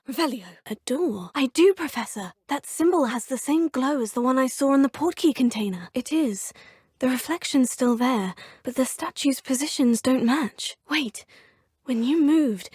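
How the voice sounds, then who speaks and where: A woman's voice: on the high side, a little mellow, slightly rough, no room sound, studio quality. A curious schoolgirl witch. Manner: polite, inquisitive and earnest, often murmuring to herself. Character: curious teenage girl wizarding student. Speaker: player character. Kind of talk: video game voice lines. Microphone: close studio mic.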